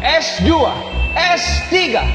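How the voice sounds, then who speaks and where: An actor playing a pompous, scheming fake university rector in a satirical stage monologue, declaiming grandly with drawn-out words and sudden swings. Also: male voice, very high voice, big pitch swings, over music, full character voice. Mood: mocking, declamatory. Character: pompous scheming fake-degree university rector, self-styled saviour god. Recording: echoey hall, reverberant stage sound.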